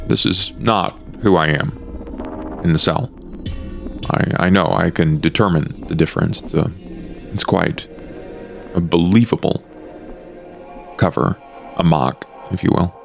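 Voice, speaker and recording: Brooding vampire played by a man, very deep and creaky, formal halting delivery, tabletop horror game with music underneath. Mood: solemn, insistent. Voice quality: gravelly. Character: Duke, brooding male vampire with a haunted past.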